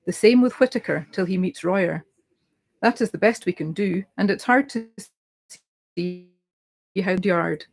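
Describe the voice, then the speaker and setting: A woman reading a classic novel aloud in a creaky voice, as scripted narration. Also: lowish voice, measured pace, pauses often. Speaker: amateur audiobook reader, library team member.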